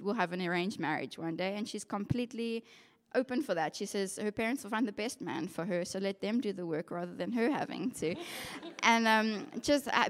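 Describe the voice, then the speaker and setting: Earnest young South African woman in a hall. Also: medium pitch. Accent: South African English. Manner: earnest, reflective storytelling with frequent ums and yeahs. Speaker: occupational therapist giving a testimony. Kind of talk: personal testimony to a congregation. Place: church hall with a masked audience. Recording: long hall reverb.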